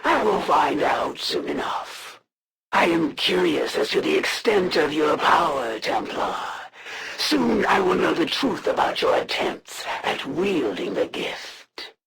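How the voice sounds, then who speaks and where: An actor voicing a proud, menacing alien female assassin in a video game, speaking formally and deliberately with a gravelly, creaky voice. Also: medium pitch, very animated, fairly bright tone, exaggerated voice, poor audio. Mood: cold menace. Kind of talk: dramatic video game character voice lines.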